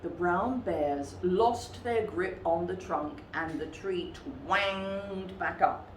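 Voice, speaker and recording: A woman storyteller narrating a children's picture book in a dramatic, measured, expressive way. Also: lowish voice, reverberant, a little hiss or hum, poor audio.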